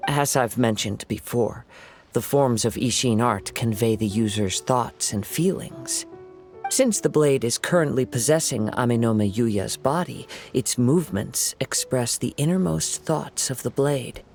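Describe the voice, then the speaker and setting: A young wandering swordsman from a video game, composed and formal, with a mid-pitched voice. He speaks in a measured, perceptive way over prominent background music. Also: creaky, a little mellow. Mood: calm, analytical. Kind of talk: video game character acting.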